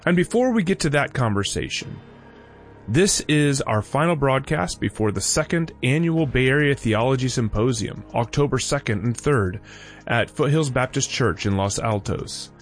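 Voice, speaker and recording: A man's voice: mid-range voice, lively intonation, moderate pace, faint music behind. A man hosting a radio show, reading a scripted introduction.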